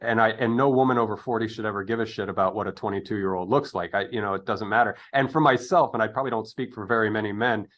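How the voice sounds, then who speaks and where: A man's voice: lowish voice, steady tone, fast, hardly any pauses, fairly bright tone. Rambling middle-aged man, casual interviewer. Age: 50s. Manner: rambling, digressive, thinking aloud. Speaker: podcast interviewer.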